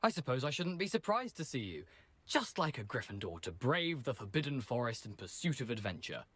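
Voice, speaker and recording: An actor playing a headless schoolboy ghost in a video game, British, chatty and theatrical, with rueful, self-deprecating wit. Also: male voice, mid-range voice, big pitch swings, fairly quick, fairly bright tone, husky, no room sound. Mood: jaunty, reluctant. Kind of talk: video game character acting.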